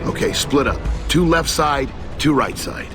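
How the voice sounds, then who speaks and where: An actor playing a gruff, commanding and wry squad leader in a video game. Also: male voice, on the high side, animated, a little slow, over music. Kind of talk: video game character dialogue.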